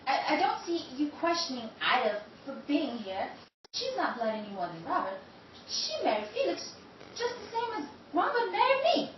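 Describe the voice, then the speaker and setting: A woman's voice: sits high, sing-song, moderate pace, very echoey, recorded from a distance, dull audio, noisy background. An actress playing a scheming, defensive married woman who stammers evasively, in a 1930s-style radio drama. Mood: guarded.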